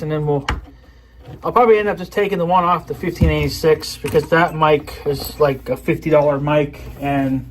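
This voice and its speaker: A man's voice: a little high, low-quality recording. A man chatting casually to viewers as he shows off an item, rambling and often trailing off mid-sentence. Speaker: video creator. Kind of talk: casual unboxing chat to viewers. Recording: clear background noise.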